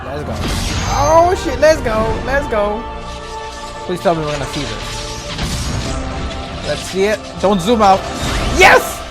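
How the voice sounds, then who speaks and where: A man giving excited live reaction commentary, exclamatory and often swearing. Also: sits high, slow pace, pauses often. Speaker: reaction video host. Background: loud cinematic game music.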